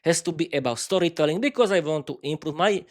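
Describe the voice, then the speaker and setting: Man, slovak accent, non-native english, unscripted solo podcast, halting, many restarts, fairly high voice. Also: darkish tone. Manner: halting, frequent restarts and fillers. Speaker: podcast host.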